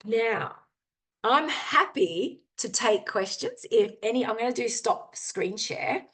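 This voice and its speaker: A woman's voice: fairly high pitch, expressive, slow, a bit bright, husky. A woman adventurer giving an earnest, candid talk over a video call, peppered with ums and you-knows. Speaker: guest speaker, adventurer. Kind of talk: informal talk with screen share, then Q&A.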